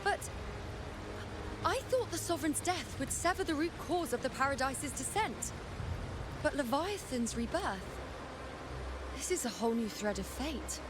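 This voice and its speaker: High-pitched, spirited young warrior woman. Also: expressive, measured pace, pauses often, background noise. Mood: shocked, pondering. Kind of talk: dramatic video game voice acting.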